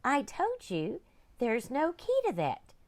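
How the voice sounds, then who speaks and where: A woman's voice: high voice, huge pitch swings, takes it slowly, voice pushed up, full character voice. A woman voicing a flustered woman librarian protesting to pirates.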